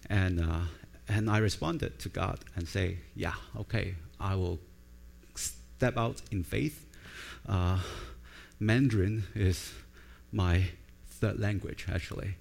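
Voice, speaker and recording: A man's voice: deep voice, fairly even intonation, slow, creaky, a little mellow. A middle-aged man from Hong Kong, a native Cantonese speaker with a Cantonese accent, preaching a conversational sermon as a pastor and informally checking in with his listeners. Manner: informal, with frequent check-in tags like "right?" and "okay?".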